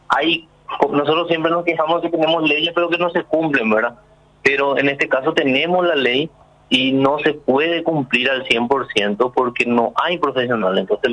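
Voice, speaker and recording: A man's voice: medium pitch, fairly bright tone, poor audio. A man from Paraguay, a sign language interpreter interviewed on the radio. He explains things earnestly, with many fillers and restarts.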